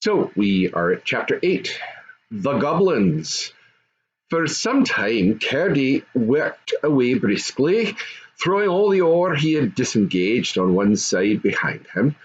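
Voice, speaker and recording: Animated older man reading a storybook. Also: medium pitch, muffled recording, a little hiss or hum. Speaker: storybook reader. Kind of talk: expressive storybook narration.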